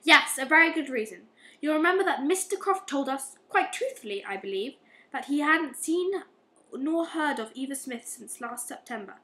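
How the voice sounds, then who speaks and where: A woman acting a stern, relentless police inspector in an amateur radio play, questioning in a measured, accusing manner. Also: high-pitched, animated, bright, forceful tone. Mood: stern, probing. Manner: probing, measured, morally accusing. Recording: roomy, echoing amateur recording.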